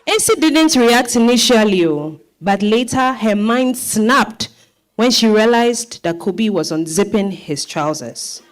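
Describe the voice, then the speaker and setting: A woman's voice: a little high, expressive, takes it slowly. A woman with a Ghanaian accent, a director and narrator speaking to a live audience at a stage reading.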